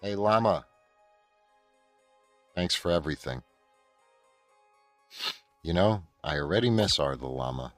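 Comedy radio drama: male actor as exasperated, self-satisfied wisecracker, low and folksy, deadpan asides, faint music behind. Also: a little slow, pauses often, no room sound. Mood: wry. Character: gruff, exasperated bald man, a self-satisfied wisecracking troll.

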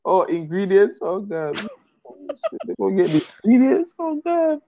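Slow-talking giggly woman, lively pitch. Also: slight background noise. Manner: laughs often, crude joking. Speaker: podcast co-host. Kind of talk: casual chat.

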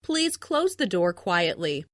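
A woman reading short phrases for language learners, repeating each one as a clear model. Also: fairly low pitch, big pitch swings, slow pace, no echo, studio quality. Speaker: language lesson speaker.

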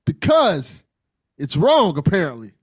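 A man's voice: a little high, wildly swooping pitch, a little slow, slightly rough, no room sound, studio quality. An American man hosting a podcast in a casual, conversational style with a jokey, informal manner. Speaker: podcast host.

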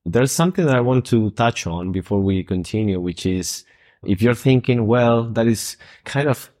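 A man from Argentina co-hosting a podcast, explaining in a measured way. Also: medium pitch, animated, darkish tone. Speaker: podcast co-host. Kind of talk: casual conversational podcast.

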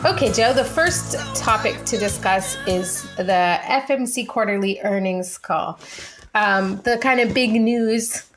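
A woman speaks as a podcast co-host in a casual, conversational style. Her pitch sits in the middle range for a woman. She has a playful, wry way of putting things. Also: a little slow, a bit bright, soft background music.

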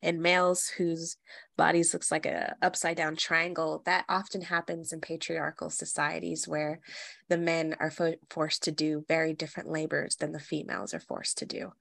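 A young adult woman co-hosting a podcast in casual chat, animated and laughing often, speaking from the belly in a feminine voice. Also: sits low, normal speed, vocal fry, no room sound. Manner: animated, laughs often, many fillers and restarts. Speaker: podcast co-host.

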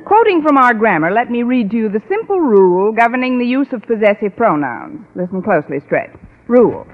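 An actress playing a wry, articulate English teacher with precise diction, in old-time radio comedy style. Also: female voice, a little high, big pitch swings, a little fast. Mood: patient, lecturing. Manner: crisp schoolmarm diction, dry wit. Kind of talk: 1940s-50s radio sitcom acting. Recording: muffled old radio recording.

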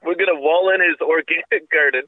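A man's voice: fairly high pitch, fairly quick. Congested man on a tinny line. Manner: rambling, profane, full of fillers. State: congested with a cough and sore throat. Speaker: podcast co-host. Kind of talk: casual chat.

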